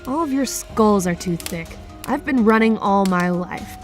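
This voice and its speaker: Sardonic runaway played by a woman, jaded, unhurried, swinging intonation, radio drama. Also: medium pitch, expressive, faint music behind, natural voice. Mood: jaded, blunt. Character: jaded, sardonic woman fugitive, skeptical and blunt.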